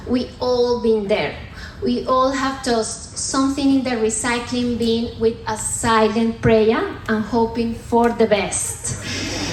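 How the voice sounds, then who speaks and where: A woman's voice: fairly high pitch, slight background noise. A woman in her thirties from Colombia with a Colombian accent, giving a playful stand-up style science talk on stage and teasing the audience. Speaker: sustainability manager giving a comedy science talk. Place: theatre stage at a comedy festival. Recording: roomy hall with faint ambience.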